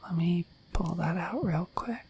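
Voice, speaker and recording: A dorky, friendly dragon in human-like shape, played by a woman, speaks in a low, very breathy whisper with a creaky edge. The delivery is gentle and hesitant, a rambling ASMR bedtime roleplay. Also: measured pace, full character voice. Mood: shy, tender.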